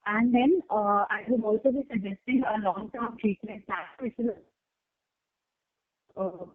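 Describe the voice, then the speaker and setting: A woman role-playing a doctor in a practice exam, explaining advice haltingly with many fillers. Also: medium pitch. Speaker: doctor candidate in a role-play. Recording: tinny phone line.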